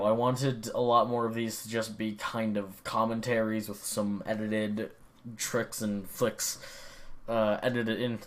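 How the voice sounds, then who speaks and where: Rambling young man with a low voice. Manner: rambling, swears often, many fillers and restarts. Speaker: gaming vlogger. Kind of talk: casual rambling monologue.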